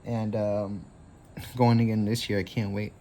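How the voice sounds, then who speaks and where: Twenty-something guy doing a chill craft tutorial, lowish warm voice, lots of uhs. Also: measured pace, a little hiss or hum. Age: 20s. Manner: rambling, relaxed, lots of fillers.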